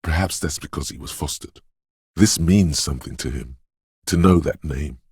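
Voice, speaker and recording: A man's voice: moderate pace, darkish tone, no room sound, studio quality, full character voice. A man voicing a brooding, eerie inner voice of intuition in a video game, speaking intimately and poetically in a mournful, ominous, dreamlike way. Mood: eerie, musing. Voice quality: very deep, husky, gravelly whispery rumble. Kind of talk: video game voice acting, literary second-person narration.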